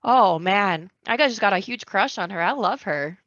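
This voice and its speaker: A woman's voice: medium pitch, animated, normal speed, a bit bright, no echo. A woman guest chatting casually on a podcast, informal, slangy and self-deprecating. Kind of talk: casual pop-culture podcast chat. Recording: dull, lo-fi, dry microphone sound.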